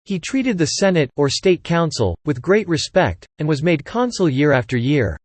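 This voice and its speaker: A man's voice: a little high, very animated, normal speed, no room sound. A man reading a scripted practice passage aloud as narration. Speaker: reader of exam practice passages.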